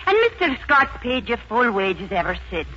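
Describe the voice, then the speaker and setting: Young Irish maid in an old radio play, earnest and warm. Her voice is bright and swings widely in pitch, on a dull, band-limited broadcast recording. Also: big pitch swings, normal speed, a little hiss or hum. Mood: coaxing. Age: young adult. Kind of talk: 1940s radio acting. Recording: muffled 1940s radio broadcast.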